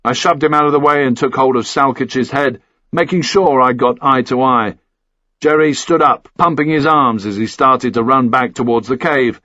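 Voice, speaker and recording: A man's voice: mid-range voice, normal speed, sharp tone, dry recording, muffled recording. A man reading a first-person action thriller as scripted audiobook narration.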